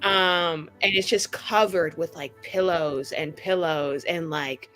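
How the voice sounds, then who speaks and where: A woman casually improvising scene description as a tabletop player, halting often and leaning on filler words. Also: lowish voice, fairly bright tone, faint music behind.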